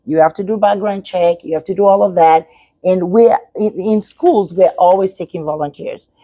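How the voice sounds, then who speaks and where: A woman's voice: lowish voice, normal speed, dark tone, no room sound. A middle-aged woman from Nigeria whose first language is Yoruba, speaking as an educator guest in an interview and giving earnest advice to parents. She repeats her points for emphasis.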